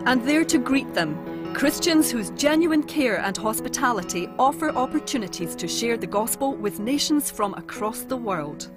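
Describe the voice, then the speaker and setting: A woman narrates a documentary in a calm, deliberate voice. Her voice sits on the higher side, and music plays clearly beneath her. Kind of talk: scripted narration. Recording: music bed underneath.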